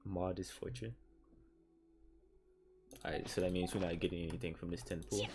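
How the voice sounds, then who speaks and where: Male game streamer, low register, casual rambling delivery, faint music underneath. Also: steady tone, normal speed, darkish tone. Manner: rambling, thinking aloud. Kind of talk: casual livestream game commentary.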